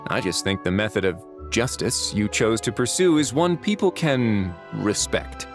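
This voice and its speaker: An actor voicing a composed duke who runs a prison fortress, speaking in a calm, measured and courteous way. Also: male voice, lowish voice. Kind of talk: video game voice acting. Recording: soft game music behind.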